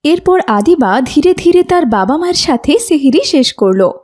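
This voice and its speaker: A woman's voice: sits high, sing-song, moderate pace, heavy background noise. A woman reading scripted narration for a children's story as its narrator.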